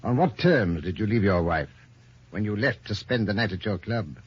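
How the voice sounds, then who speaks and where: An unflappable inspector in an old radio mystery. His low, somewhat rough-edged voice questions with dry, shrewd authority. The sound is dull, like a vintage broadcast. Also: lively intonation, normal speed. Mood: pressing. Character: shrewd, probing, unflappable male police inspector. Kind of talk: old-time radio detective drama acting.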